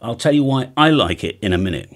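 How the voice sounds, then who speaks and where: A man's voice: mid-range voice, expressive, quick pace. A man in his fifties giving an informal technical explanation, conversational, with emphatic asides and frequent check-ins with the listener. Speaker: amateur radio video presenter. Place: indoor home studio.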